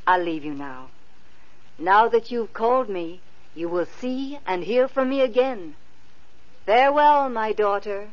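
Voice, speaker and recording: A woman's voice: medium pitch, lively intonation, measured pace, lightly acted. A woman playing a tender fairy godmother. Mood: soothing. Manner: soothing, tender. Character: gentle kindly fairy godmother, female. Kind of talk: 1950s radio acting. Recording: dull lo-fi old radio recording.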